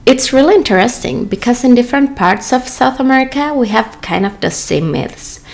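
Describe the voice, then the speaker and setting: A woman hosting a podcast, reading her introductions and questions in a measured, scripted way. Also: fairly high pitch, animated, vocal fry, darkish tone. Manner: measured, read-aloud introductions. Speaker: podcast host.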